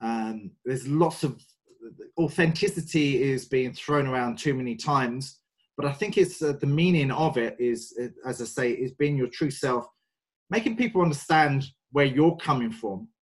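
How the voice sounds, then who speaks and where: A man in his forties with a London English accent, a coach speaking as a podcast guest, thoughtful and hesitant with many pauses and fillers. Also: medium pitch, lively intonation, breathy edge. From North London, England. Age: 40s. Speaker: guest, sales and mind coach. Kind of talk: podcast interview.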